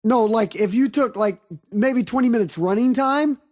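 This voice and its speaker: A man's voice: very high voice, moderate pace, a little mellow. A man co-hosting a casual movie review podcast, joking and swearing freely as he talks. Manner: profane, jokey, breaks into mock accents. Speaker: podcast host.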